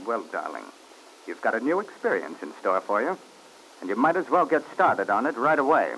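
A cold, mocking husband. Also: male voice, mid-range voice, a little fast, slight background noise, poor audio. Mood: commanding. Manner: mocking, calmly domineering, drawls endearments. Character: cold, commanding husband named Paul. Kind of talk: 1940s radio drama acting.

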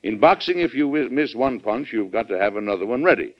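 An actor playing a resolute, blustery American president in an old-time radio drama, speaking emphatically and deliberately. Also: male voice, mid-range voice, expressive, close to an everyday voice. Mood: thoughtful, earnest. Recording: dull old radio broadcast recording.

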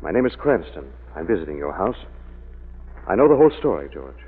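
Casting: suave commanding sleuth, lowish husky voice, vintage radio mystery, tinny narrow recording. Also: male voice, very animated, brisk, exaggerated voice. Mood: probing. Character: composed, commanding gentleman sleuth, protective. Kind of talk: 1940s radio mystery acting. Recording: phone-like old radio recording.

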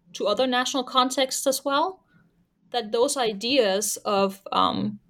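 A Danish woman, a native Danish speaker, talking as an author about her research in an interview, thoughtful and full of fillers and restarts. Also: a little high. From Denmark. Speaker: author and historian guest. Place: Canada.

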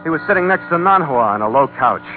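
A man's voice: fairly high pitch, animated, quick pace, natural voice. An actor playing a tough, wry American sea captain, narrating his adventure tersely in a vintage radio drama. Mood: suspenseful. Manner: terse, wry, hardboiled storytelling. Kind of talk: 1940s-50s radio adventure narration and acting. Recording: tinny vintage radio recording with music.

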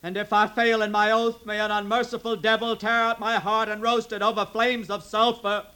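Sharp, taunting guerrilla killer. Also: male voice, sits high, level tone, normal speed, clean tone. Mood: fierce, solemn. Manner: rural American dialect, taunting. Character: menacing young male Confederate guerrilla killer, taunting and cruel. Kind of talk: old-time radio drama acting. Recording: vintage radio drama recording.

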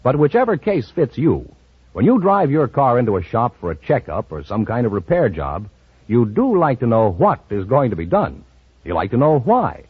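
A man's voice: mid-range voice, huge pitch swings, normal speed, breathy edge, no echo. An American man reading a scripted 1950s radio commercial in a conversational salesman's manner. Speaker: commercial announcer. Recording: dry, dull vintage radio recording.

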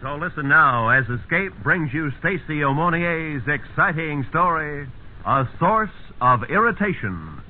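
Male radio announcer, grave, portentous, very slow, 1950s dramatic delivery, tinny band-limited sound, music. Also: fairly high pitch, lively intonation, a little hiss or hum. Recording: tinny old radio recording.

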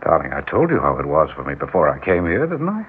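A man's voice: rumbling low, wildly swooping pitch, background noise, full character voice. Earnest small-town man, tinny old radio. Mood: reflective. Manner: halting, wistful reminiscing, stammering when confused. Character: earnest small-town mayor, former drifter, devoted husband, growing bewildered. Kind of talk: old-time radio drama acting.

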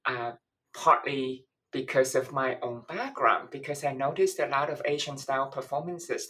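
A woman's voice: rumbling low, a bit bright. A woman from Taiwan, a professor and author, giving thoughtful interview answers that list ideas, with frequent restarts.

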